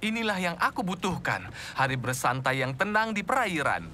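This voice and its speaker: An actor playing an amiable, weary man in an animated children's comedy. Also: on the high side, moderate pace, noisy background. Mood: contented.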